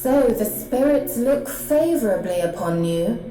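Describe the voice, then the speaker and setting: Scornful female game villain, highish voice, slow formal delivery, echoing chamber. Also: expressive, dark tone. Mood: contemptuous. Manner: haughty, contemptuous, formal. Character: haughty, scornful female villain, loyal court subject. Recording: echoing chamber, faint music.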